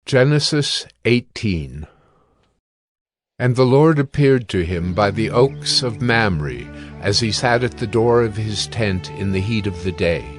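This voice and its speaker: Fairly low-voiced male scripture narrator. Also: lively intonation, unhurried, no echo, faint music behind.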